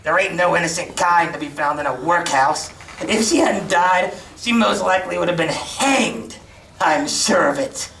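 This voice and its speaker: A woman's voice: lowish voice, moderate pace, creaky, fairly bright tone. A woman playing a bullying young shop assistant in a school stage play, with coarse, taunting speech. She is recorded from far off in a roomy hall. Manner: coarse taunting working-class speech, cackling. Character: sneering bullying teenage boy assistant. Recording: distant roomy stage recording, faint noise.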